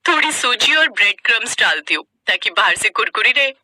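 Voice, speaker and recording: A woman's voice: a little high, animated, brisk, pushed and bright, dry recording, full character voice, low-quality recording. A woman voicing a dutiful, respectful young daughter-in-law in a Hindi cartoon family story, speaking politely and obligingly. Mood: modest, polite.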